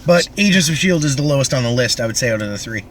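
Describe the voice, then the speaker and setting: A man's voice: medium pitch, expressive, quick pace, fairly bright tone, slight background noise. A man from Canada co-hosting a podcast, recapping television in loose, casual chat. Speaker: podcast co-host.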